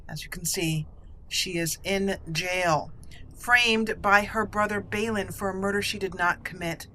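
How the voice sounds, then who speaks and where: A woman hosting a let's play, narrating the game as a dramatic, deliberate storyteller. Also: fairly low pitch, pushed and bright, poor audio.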